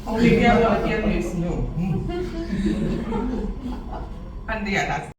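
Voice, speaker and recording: A woman's voice: mid-range voice, big pitch swings, slow. Effusive woman author in an echoing hall. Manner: effusive, warm thanks, laughing. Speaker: author being interviewed. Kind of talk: festival stage interview. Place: event venue, large room. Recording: roomy echo, distant, noisy.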